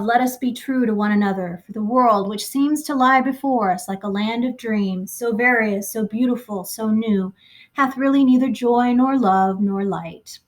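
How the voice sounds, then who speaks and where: A shaken man reciting a poem slowly and solemnly, as a woman reader voices him. The voice stays near the reader's own, measured and firm. A storybook reading. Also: fairly high pitch, pitched above the performer's own voice, natural voice. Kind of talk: audiobook character voice.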